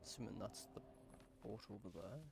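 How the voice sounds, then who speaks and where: Low-key man with a creaky voice, gaming. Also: a little fast. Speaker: gaming commentator. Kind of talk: casual gameplay commentary. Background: game music.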